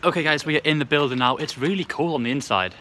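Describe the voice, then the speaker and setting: A man's voice: fairly high pitch, fast talker, pushed and bright. A young man hosting a casual vlog, talking chatty and upbeat into a phone held at arm's length outdoors, laughing often. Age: young adult. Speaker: vlogger host. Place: outdoors in hills and ruins. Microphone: handheld phone at arm's length.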